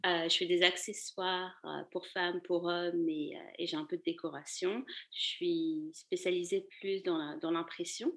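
A woman's voice: fairly low pitch, fairly even intonation, a little slow, hardly any pauses. A woman, answering casually as an interview guest in a loose, meandering way. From Paris, France. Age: 30s. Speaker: podcast guest, fashion accessories designer.

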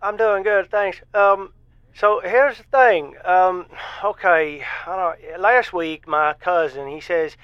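A shy, lonely bouncer phoning in to an audio-drama radio show. His voice is unusually high for a man, folksy and halting, with words repeated. The sound is slightly dull and very dry. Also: clear, resonant tone, no room sound. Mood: nervous. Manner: halting, repetitive, folksy storytelling. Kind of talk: semi-improvised audio drama acting.

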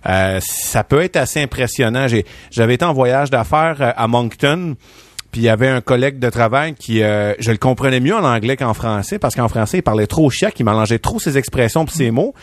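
A man with a Québécois French accent, co-hosting a podcast in a casual, relaxed conversational style. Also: medium pitch, a little fast, dry recording, studio quality. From Quebec. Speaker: podcast co-host.

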